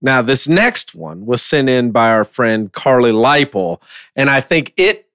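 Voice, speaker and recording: A man hosts a podcast in an easy conversational style. His voice sits at an average pitch. The sound is dry, with hardly any room in it. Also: very animated, unhurried, a bit bright, no room sound. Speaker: podcast host. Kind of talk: casual podcast chat.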